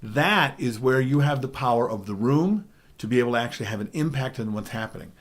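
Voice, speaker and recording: A middle-aged man with a baritone voice giving a DJ training talk, emphatic, often checking in with "okay?". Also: a little fast. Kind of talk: spoken presentation.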